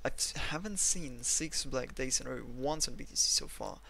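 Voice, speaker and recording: A man with a Russian accent walks through market charts at his desk, rambling and self-mocking. His voice is gravelly and bright, recorded on a desk mic. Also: medium pitch, normal speed. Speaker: trading analyst. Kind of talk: screen-recorded chart analysis.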